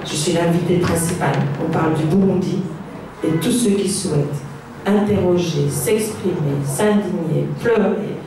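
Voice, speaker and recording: A woman's voice: on the low side, moderate pace, over music. A woman giving an impassioned rally speech, building her points through repetition and long lists. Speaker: invited speaker at a rally. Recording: very echoey hall, distant mic, background noise.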